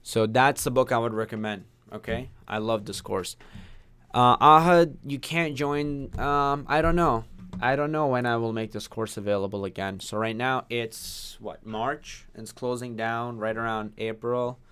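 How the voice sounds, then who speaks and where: Smooth-voiced man chatting on a livestream. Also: mid-range voice, steady tone, unhurried, fairly bright tone. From Pakistan. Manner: chatty, reads viewer names and questions aloud. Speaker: online course instructor. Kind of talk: casual livestream Q&A.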